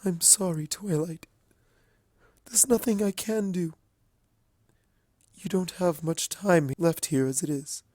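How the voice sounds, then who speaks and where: A man voicing a motherly, regal female pony mentor, speaking softly and solemnly with sorrow. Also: high-pitched, dry recording, studio quality, voice pushed up. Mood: regretful. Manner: soft, solemn, measured.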